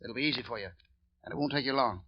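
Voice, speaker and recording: A man's voice: mid-range voice, racing, natural voice. An actor playing a cold, bullying gangster henchman, threatening in a clipped tough-guy delivery. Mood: menacing. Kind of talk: 1940s radio acting. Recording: dull old radio recording.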